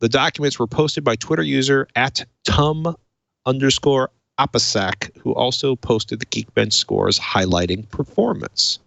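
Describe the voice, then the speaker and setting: A man's voice: mid-range voice, measured pace, creaky, fairly bright tone, no room sound, dull audio. A man hosting a casual tech podcast in a conversational, wry way, moving between chat and read-out copy. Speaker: podcast host. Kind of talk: casual tech talk show with a read sponsor message.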